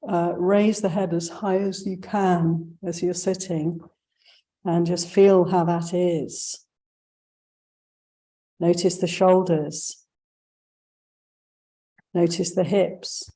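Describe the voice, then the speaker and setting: A woman leading a guided meditation as a teacher, giving gentle, unhurried instructions with pauses. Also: lowish voice, steady tone, low-quality recording.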